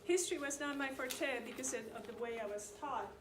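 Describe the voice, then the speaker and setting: A middle-aged woman, speaking a little higher than most women, walks an audience through history in a loose, conversational way and keeps checking in with them. She is picked up from across an echoey meeting room. Steady background noise sits under her voice. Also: a little fast, recorded from a distance. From Chile. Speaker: community presenter on immigration history. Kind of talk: informal lecture to an audience.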